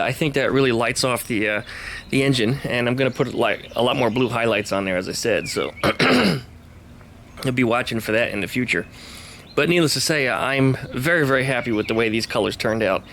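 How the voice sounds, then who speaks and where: A man at an everyday middle pitch talks easily while he shows off his engine work outside his garage. His delivery is loose and conversational, full of little fillers. A faint wash of outdoor noise sits behind him. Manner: relaxed, chatty, with frequent fillers. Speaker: garage vlogger restoring a car. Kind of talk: casual workshop vlog.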